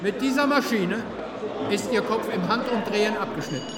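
A man's voice: sits high, normal speed, close to an everyday voice. An actor playing a doctor proudly declaiming the merits of his invention to an assembly in a radio drama. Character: doctor proudly pitching his new execution machine. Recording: echoey hall with loud crowd, distant.

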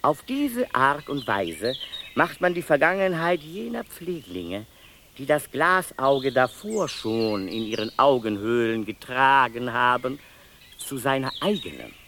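A pedantic asylum inmate. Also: male voice, mid-range voice, sing-song, measured pace, a bit bright, a little hiss or hum. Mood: musing. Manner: meticulous, lecturing, precise and detailed. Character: pedantic male asylum inmate, obsessive explainer. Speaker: patient narrating his own thoughts. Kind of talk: radio play acting.

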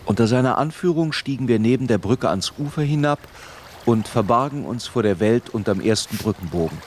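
A man giving a measured, deliberate literary narration in a radio play. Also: mid-range voice, animated, slight background noise, lightly acted.

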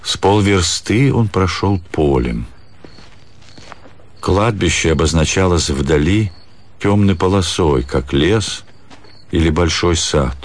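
A man's voice: low-pitched, lively intonation, takes it slowly, pauses often. A man reading a classic short story as the narrator of a radio audio play.

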